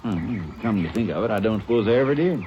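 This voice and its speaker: Actor as a drawling joking sheriff. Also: male voice, lowish voice, lively intonation, fast, a little hiss or hum, close to an everyday voice. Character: gruff joking small-town male sheriff. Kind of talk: 1950s radio drama acting. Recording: muffled old radio recording.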